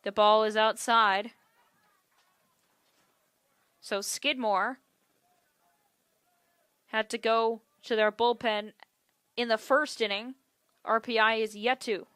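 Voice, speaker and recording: A woman's voice: a little high, steady tone, slow, pauses often, fairly bright tone, no room sound. A woman giving live play-by-play commentary as a sports announcer. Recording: clear gymnasium and ballpark ambient noise.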